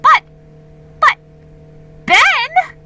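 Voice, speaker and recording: A woman voicing an excitable, sweet young girl, exclamatory and breathless with wonder, in a dramatic storybook reading. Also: extremely high pitch, drawn-out words, heavy background noise, pitched above the performer's own voice, full character voice. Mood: flustered. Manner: gasping, exclamatory.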